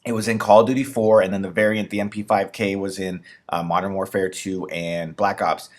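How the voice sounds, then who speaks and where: A man in his thirties giving an enthusiastic, rambling gun breakdown for a game, slightly raspy as he loses his voice. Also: deep voice, little pitch movement, brisk. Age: 30s. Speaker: gaming YouTuber. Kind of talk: casual gun breakdown commentary.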